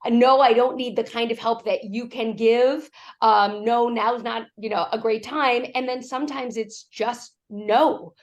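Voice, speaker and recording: A middle-aged woman with a slightly high voice, raspy and worn from a cough. She talks through her points as an interview guest, acting out the lines of people in her stories. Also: measured pace, dull audio. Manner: animated storytelling, acts out quoted lines. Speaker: executive coach, guest. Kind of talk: conversational interview answers.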